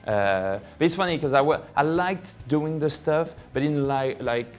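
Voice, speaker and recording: A man's voice: on the high side, measured pace, faint music behind. A French-accented man in his forties, a film director telling his story at home in a casual, rambling way with many fillers and restarts. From France. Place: living room of his home.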